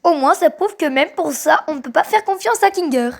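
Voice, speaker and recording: An anxious circus character from a cartoon, voiced by a woman, talks in a high voice. The manner is blunt and jittery, as in an amateur dub. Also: animated, fairly quick, gravelly. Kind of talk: fan dub cartoon acting.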